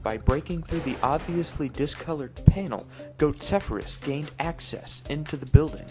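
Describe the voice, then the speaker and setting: Deadpan man with lightly high voice, music behind. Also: normal speed. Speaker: narrator of a gameplay video. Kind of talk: improvised mock-storybook gameplay narration. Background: clear background music.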